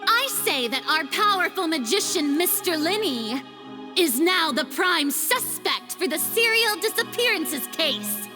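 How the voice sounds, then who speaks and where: A dramatic young goddess from a game, very high and sweeping in pitch. She declaims slowly and haughtily over a clear music score. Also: lively intonation. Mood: accusing. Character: grandiose young female deity, dramatic and self-assured. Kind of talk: game cutscene dub.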